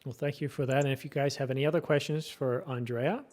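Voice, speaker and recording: A man's voice: medium pitch, fairly even intonation, quick pace, darkish tone. A man hosting a live camera stream. He talks casually and upbeat, keeps the conversation moving and passes questions to his guests. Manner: upbeat, chatty, reads viewer questions.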